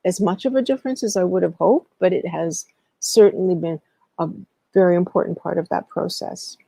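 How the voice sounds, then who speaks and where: Middle-aged woman with a gravelly, mellow voice. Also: lowish voice, brisk, dry recording. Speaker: guest, historian and educator. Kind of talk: interview storytelling, recounting past work.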